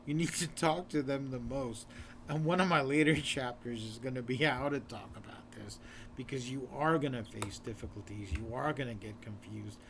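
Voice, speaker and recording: Hoarse guy talking straight to camera, pushy and repetitive, noisy recording. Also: mid-range voice, moderate pace, fairly bright tone. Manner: urgent, repetitive, exhorting the viewer. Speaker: author presenting his book in a video series. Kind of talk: earnest direct-to-camera talk.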